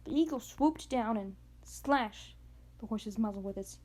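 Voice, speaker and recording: A woman reading a children's novel aloud in a halting way, often stumbling and going back over words. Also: on the high side, lively intonation, darkish tone. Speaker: amateur audiobook reader.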